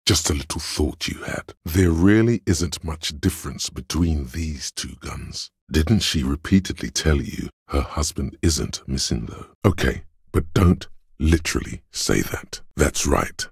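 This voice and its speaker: Very deep, gravelly inner voice of logic. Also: male voice, lively intonation, no room sound, full character voice. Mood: sardonic, detached. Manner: measured, analytical, dry. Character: cold, dry inner voice of a detective's reasoning. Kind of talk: scripted video game voice acting, second-person narration.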